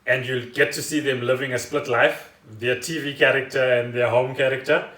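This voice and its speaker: A man's voice: mid-range voice, steady tone, moderate pace, fairly bright tone. A South African man co-hosting a podcast in casual chat, explaining things in a rambling way. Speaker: podcast co-host. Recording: echoey room, distant mic.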